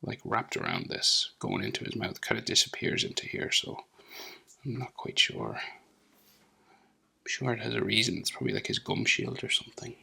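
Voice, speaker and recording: A man's voice: lowish voice, huge pitch swings, moderate pace, creaky, pushed and bright, slightly rough. A man with a head cold, casually talking through a drawing step by step, thinking aloud with many fillers and dropping to near whispers. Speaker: drawing tutor.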